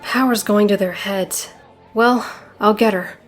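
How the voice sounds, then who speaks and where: A woman's voice: medium pitch, a little slow, faint music behind, lightly acted. A woman voicing a tough, sardonic young female ninja turtle in a comic dub, speaking in a dry, casual way.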